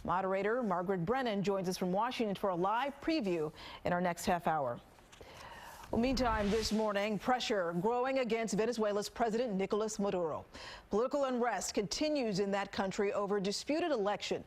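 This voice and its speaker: A woman's voice: medium pitch, a little fast, a little mellow. A woman with an American accent reading the news as a TV anchor. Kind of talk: scripted news reading with light co-anchor banter.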